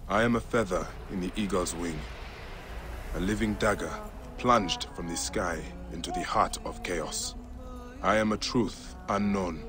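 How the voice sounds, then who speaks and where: An actor playing a solemn, determined Egyptian warrior with a grave, deliberate delivery in a game cutscene. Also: male voice, low voice. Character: solemn, determined Egyptian warrior protector, grown man, a father. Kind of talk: dramatic game cutscene acting.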